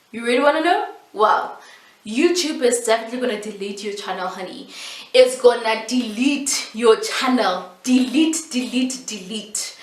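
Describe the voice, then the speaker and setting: The recording is echoey and a little far from the mic. A South African woman, native in Setswana, speaks in a fairly high voice. She explains things like a casual YouTube host, emphatic and playful, repeating phrases for stress. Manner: animated, emphatic repetition, playful asides with laughs. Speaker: YouTube host giving tips. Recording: echoey room, distant mic.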